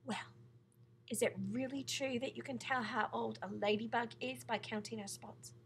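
A woman's voice: a little high, steady tone, moderate pace, a bit bright, a little hiss or hum. A woman voicing a polite, kind-hearted, curious young boy for a children's storybook, earnest and full of wonder. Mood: curious, admiring. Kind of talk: children's storybook character reading.

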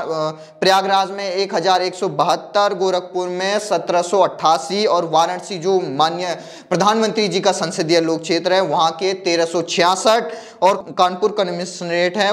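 A young man presenting news commentary to camera in a studio on a lapel mic, reeling off lists of figures as he talks straight to the viewer. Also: high voice. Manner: talks directly to the viewer, gesturing with a phone in hand; reels off long lists of figures. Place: dark studio backdrop, indoors.

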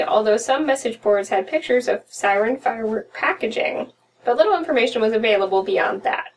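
Young American female narrator for amateur horror readings, voice on the higher side, slightly distant home recording. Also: creaky. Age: young adult. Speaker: podcast co-host reading horror stories. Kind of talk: reading a story aloud. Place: small room at home, indoors. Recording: distant mic with room noise.

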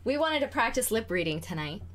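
A woman's voice: fairly high pitch, very animated, a bit bright. A woman co-hosting a live stream from home, teasing and giggly as she reads out the chat. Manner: giggly, teasing, drawn-out delivery. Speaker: co-host reading chat.

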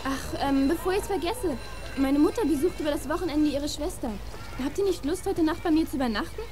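High-pitched anxious teenage girl played by an actress. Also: a little fast, mellow, lightly acted. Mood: confiding. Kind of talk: German audio drama acting. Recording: noisy ambience behind the voice.

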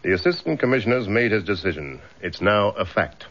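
Casting: suspicious, rule-bound British superintendent, low voice, curt radio drama style, slightly dull recording. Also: male voice, animated, brisk, fairly bright tone. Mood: stern, disapproving. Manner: stern, sardonic, curt. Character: gruff, suspicious, by-the-book police superintendent, older superior.

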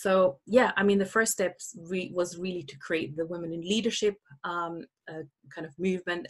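Husky-voiced woman hosting a webinar. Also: fairly low pitch, rough recording. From Austria. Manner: chatty, many fillers and restarts. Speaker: summit host. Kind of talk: webinar presentation. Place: indoor room. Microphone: webcam on a video call.

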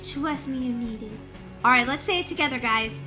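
A woman hosting a gaming video, giving excited, playful and dramatic gameplay commentary for young viewers. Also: a little high, moderate pace, over loud music.

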